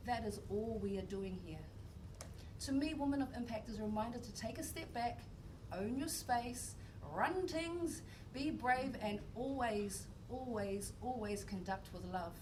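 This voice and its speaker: A woman reads a prepared speech in an earnest, emphatic way. She sounds distant from the mic, with clear noise running behind her. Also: a little high, unhurried. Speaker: speaker, singer-songwriter. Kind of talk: prepared speech read aloud. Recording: distant mic in a noisy room.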